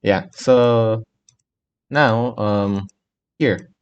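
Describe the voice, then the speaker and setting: Low-voiced, rambling male gamer. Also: steady tone, very slow, smooth, muffled recording. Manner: rambling, hesitant, easily distracted. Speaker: gaming video creator. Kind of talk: casual, unscripted gameplay commentary. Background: faint game music.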